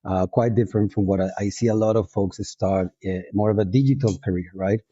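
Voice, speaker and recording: Colombian-accented guest, a man, lowish and very warm, reflective and chatty, dry close audio. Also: fairly even intonation, fairly quick, slightly rough, no echo. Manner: reflective, frequent restarts and fillers, laughs easily. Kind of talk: casual interview chat. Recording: dull, muffled audio.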